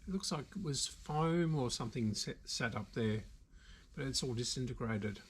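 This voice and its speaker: An Australian man giving casual, unscripted hands-on repair commentary, halting now and then as he thinks aloud. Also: medium pitch, animated, slight background noise. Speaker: video host, radio repairer. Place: indoor workshop.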